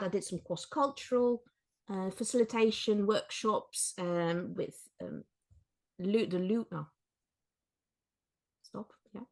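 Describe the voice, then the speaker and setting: A woman from the Netherlands talking as a podcast guest, friendly and a little hesitant, with many fillers. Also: mid-range voice. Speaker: guest, author and coach. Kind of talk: casual interview chat.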